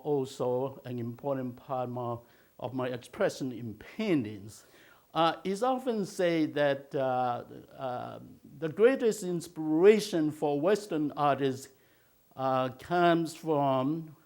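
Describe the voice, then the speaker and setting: An older man from China, raised in Malaysia, teaching a watercolor technique in a slow, halting way with many filler sounds. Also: mid-range voice, darkish tone. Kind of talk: instructional video talk.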